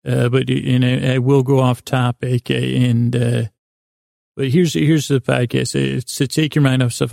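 A man hosting a sleep podcast, meandering through a halting, self-correcting bedtime monologue in a creaky voice. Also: medium pitch, a little mellow, no echo, studio quality.

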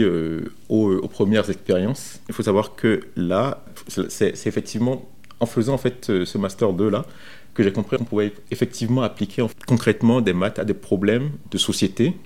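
A man in his thirties from Benin recounts his career informally, speaking in a halting way with frequent repetitions. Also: on the low side, darkish tone. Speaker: software developer interviewed about his career. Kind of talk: informal first-person career account.

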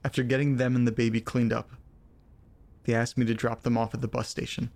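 Uneasy male actor as audio drama letter reader, creaky medium voice, deliberate storytelling, troubled and foul-mouthed. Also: medium pitch, level tone, a little mellow, lightly acted. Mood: tense, haunted. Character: troubled man reading strange letters aloud, profane and uneasy.

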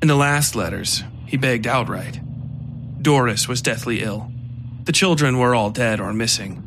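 A man narrating dark horror fiction from a script in a measured, literary storytelling manner. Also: medium pitch. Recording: faint ambient background noise.

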